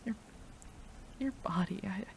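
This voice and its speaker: A woman performs a female mad scientist in an intimate audio drama, devoted and desperate. She speaks slowly at a medium pitch, full of feeling for the one she loves. Rain patters faintly behind her voice. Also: slight background noise. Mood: anxious, pleading. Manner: emotional, breathy sighs, addresses a beloved tenderly.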